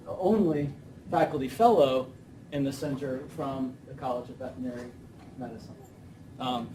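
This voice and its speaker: Male host introducing a guest, slightly high voice, off-mic, noisy room behind. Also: a little mellow, far from the mic. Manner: hesitant, many fillers. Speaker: center director, host. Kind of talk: introducing a speaker. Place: lecture room.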